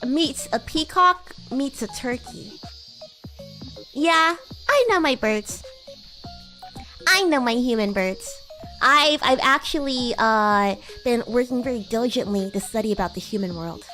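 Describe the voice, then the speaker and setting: Bratty demon classmate voiced by a woman, high-pitched and girlish, playful VTuber roleplay with light music underneath. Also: fairly bright tone, full character voice. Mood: curious, proud. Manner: playful, giggly, teasing. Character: bratty young demon schoolgirl class president with baby wings. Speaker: VTuber streamer. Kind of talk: in-character roleplay livestream chat.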